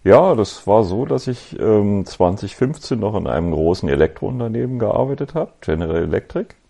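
A man in his fifties from Berlin with a Berlin accent, telling his story as a podcast guest in an easygoing, reflective way with frequent pauses. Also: fairly low pitch, vocal fry. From West Berlin, Germany. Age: 50s. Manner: relaxed, reflective, with many ums and pauses. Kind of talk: casual conversational storytelling.

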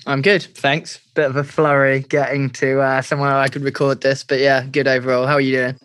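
Guy co-host on a podcast, average pitch, casually asking interview questions. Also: level tone, brisk, a bit bright.

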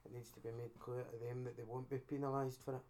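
Brief: Scottish male co-host, monotone delivery, plain matter-of-fact opinions. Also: mid-range voice, fast talker. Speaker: podcast co-host. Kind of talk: casual chat.

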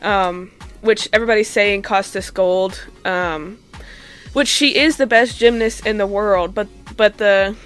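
A woman's voice: mid-range voice, steady tone, measured pace, a bit bright. Casual woman podcaster, music underneath. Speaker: podcast co-host reading news segment. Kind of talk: casual, ad-libbed news recap.

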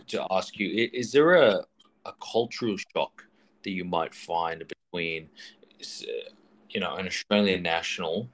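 A male interviewer with a very low voice asks long questions in a casual chat. He stumbles through them, repeating words and filling gaps. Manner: halting, many fillers and restarts.

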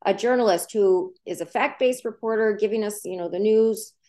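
A woman's voice: mid-range voice, moderate pace, mellow. A woman, a journalism professor, answering interview questions in an earnest, explanatory way and repeating points for emphasis.

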